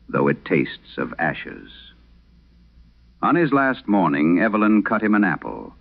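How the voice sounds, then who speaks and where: Man playing a very deep, grave old priest. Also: vocal fry, narrow, tinny audio, heavily acted. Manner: grave, measured, dramatic pauses. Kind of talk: solemn first-person horror radio storytelling. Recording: band-limited vintage radio sound.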